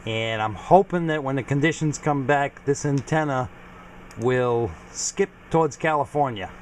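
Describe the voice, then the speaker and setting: A man's voice: mid-range voice, measured pace, slight background noise. A man giving a casual, informal equipment walkthrough as a hobbyist video host, explaining things plainly. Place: home garage.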